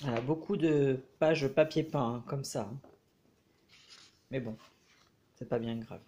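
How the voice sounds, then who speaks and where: A man with a head cold giving a casual, hesitant review of a book as he leafs through it, pausing often. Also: medium pitch, darkish tone. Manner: hesitant, frequent filler pauses.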